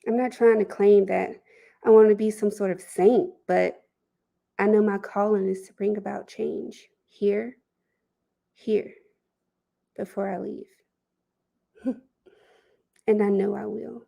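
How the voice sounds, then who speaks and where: A woman performing a dramatic audition monologue as an earnest, reflective, idealistic young person, speaking deliberately. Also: medium pitch, steady tone, creaky, warm tone, exaggerated voice. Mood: reflective, determined.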